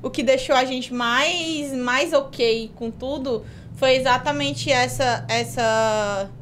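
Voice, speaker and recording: A woman co-hosting a casual podcast, her voice very high. She asks questions to keep the chat going, and a faint low rumble sits under the recording. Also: slow pace, a bit bright. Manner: chatty, prompting questions. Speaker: podcast co-host.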